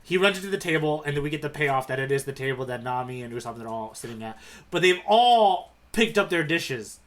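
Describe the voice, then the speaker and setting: A man's voice: fairly high pitch, lively intonation, fairly quick, a bit bright. A man co-hosting a podcast in casual, playful, jokey banter about manga. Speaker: podcast co-host. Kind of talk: casual comedic manga discussion.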